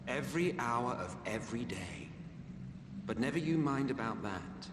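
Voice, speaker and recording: An actor plays a haughty, scheming fantasy game villain, forever bored. He drawls mockingly in a middle-pitched voice. It echoes through a long, reverberant hall over clear background noise. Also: lively intonation, normal speed, close to an everyday voice. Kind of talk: dramatic video game cutscene acting.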